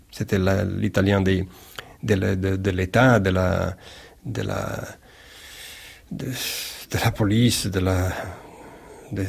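A man with an Italian accent answers interview questions in a slow, deliberate way. His low voice is gravelly and a little husky, and he often stops and restarts phrases while hunting for the right word. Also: a little mellow. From Naples, Italy. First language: Neapolitan. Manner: halting, searching for words, many repeated starts. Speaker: writer interviewed as guest.

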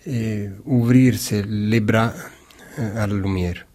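A man from Naples whose first language is Neapolitan, speaking with an Italian accent as a writer in an interview, halting and searching for words with many repeated starts. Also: fairly low pitch, steady tone, a little mellow. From Naples, Italy. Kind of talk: reflective interview answer.

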